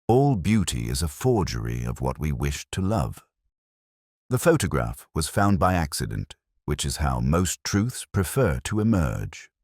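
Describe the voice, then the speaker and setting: A man narrating a literary detective story in a measured, formal, melancholy manner. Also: extremely low pitch, dry recording, studio quality. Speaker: first-person detective narrator of an audio mystery.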